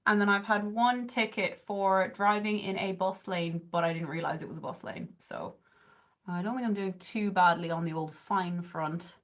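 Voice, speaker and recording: A woman's voice: mid-range voice, normal speed, a bit bright. An Irish woman in her thirties talks through her makeup on a live stream from her bedroom, close to the camera. She rambles easily with plenty of "like" and "um" and chats with her viewers as she goes. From southern Ireland. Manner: chatty and rambling, with frequent "like" and "um"; coos at her cat. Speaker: beauty livestreamer. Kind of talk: casual chat while doing makeup, reading viewer comments. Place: bedroom at home, indoors. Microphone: webcam or phone camera at close range.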